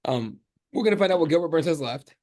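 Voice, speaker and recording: Guy talking mma on a podcast, english not his first language, lots of um and uh, gets animated. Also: on the high side, fast talker. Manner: animated, lots of fillers and restarts. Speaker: MMA journalist guest. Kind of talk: casual sports podcast chat.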